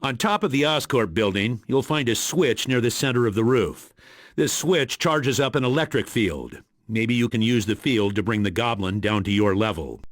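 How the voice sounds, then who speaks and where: A man's voice: medium pitch, lively intonation, normal speed, dry recording, clean studio sound, close to an everyday voice. A man playing a stern, businesslike spy commander giving video game mission briefings in an authoritative, matter-of-fact way.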